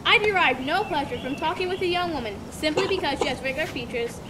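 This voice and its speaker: A woman's voice: sits high, lively intonation, fast talker. A woman playing a proper, judgmental young woman visitor in a homeschool dramatic reading, haughty and indignant. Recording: loud background noise.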